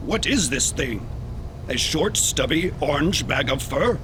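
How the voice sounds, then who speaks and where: Haughty alien station crewman, contemptuous of humans, slightly high voice, sci-fi drama with clear ship noise behind. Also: expressive, a little slow. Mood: disdainful. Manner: pompous, disdainful, formal. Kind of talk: sci-fi audio drama acting.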